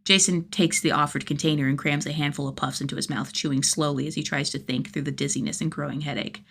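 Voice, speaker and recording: A woman reading scripted fiction as an audiobook-style narrator, with expressive delivery. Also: sits low, quick pace, vocal fry, breathy edge. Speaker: podfic narrator.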